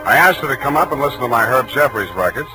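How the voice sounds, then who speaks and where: An American actor playing a wry, unflappable private detective in a 1940s radio drama, with dry, clipped, wisecracking delivery. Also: male voice, mid-range voice, animated, fast, natural voice, rough recording. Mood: wry narration. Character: wry, unflappable male private detective narrating his case. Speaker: radio drama lead and narrator. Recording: 1940s radio broadcast with music.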